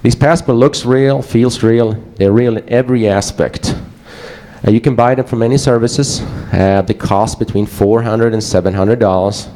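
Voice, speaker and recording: A man's voice: medium pitch, normal speed, mellow. A man with a Swedish accent giving a casual, joking conference presentation to a live audience. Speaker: conference speaker.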